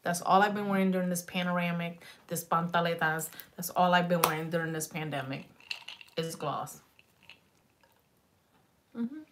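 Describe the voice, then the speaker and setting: A woman in her thirties chatting loosely on a beauty livestream, swearing freely, dropping in Spanish words, her slightly raspy voice going in and out. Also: lowish voice, moderate pace, pauses often, noisy background. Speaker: beauty vlogger. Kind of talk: rambling casual livestream chat. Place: small home beauty room, indoors.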